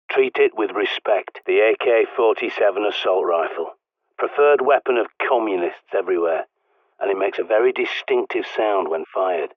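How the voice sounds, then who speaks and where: An actor with a British accent playing a gruff ex-soldier survivalist who mentors the player over the radio, blunt and fatherly. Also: medium pitch, dry recording, lightly acted. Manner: blunt, dry, fatherly, barks orders when urgent. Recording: tinny radio-filtered line, dry.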